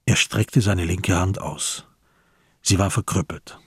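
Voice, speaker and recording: Deep, very husky male narrator. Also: fairly even intonation, slow pace, no room sound, clean studio sound. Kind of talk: scripted literary narration in a radio drama.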